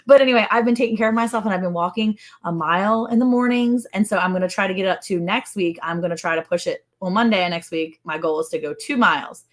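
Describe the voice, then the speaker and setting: A woman in her forties co-hosting a casual livestream from home, chatty and teasing, greeting viewers by name. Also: lowish voice. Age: 40s. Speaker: co-host of a ranch livestream.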